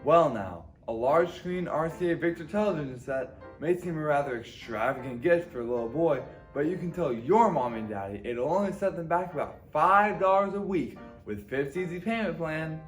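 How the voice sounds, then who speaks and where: A man's voice: a little high, animated, moderate pace, few pauses, soft background music, close to an everyday voice. An actor playing a cheery, folksy male Santa's helper and salesman in an old-time radio advertisement. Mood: cheery, salesy.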